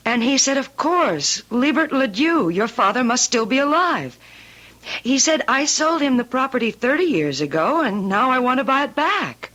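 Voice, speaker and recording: Radio mystery client: guilt-ridden daughter, sharp-edged tone, earnest and confiding. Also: female voice, a little high, dull audio. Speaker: actress playing a client. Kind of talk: old-time radio drama acting.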